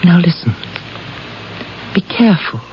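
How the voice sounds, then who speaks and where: A woman acting a tender, wistful woman in love in a 1970s radio drama, speaking softly and intimately. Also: lowish voice, very animated, a little slow. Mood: anxious, loving. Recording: muffled old radio broadcast, faint noise.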